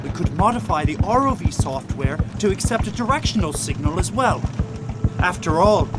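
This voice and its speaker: An actor playing a brainy, eager, good-hearted first mate with a precise, technical way of talking in an audio drama. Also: male voice, high voice, animated, normal speed, over loud music.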